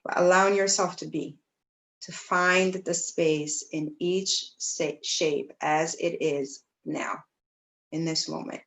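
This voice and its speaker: A woman teaching yoga, guiding breathing and meditation in a gentle, soothing way, with pauses between phrases. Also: low-pitched, steady tone, rough recording. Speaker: yoga teacher leading a class. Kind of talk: guided breathwork and meditation instruction.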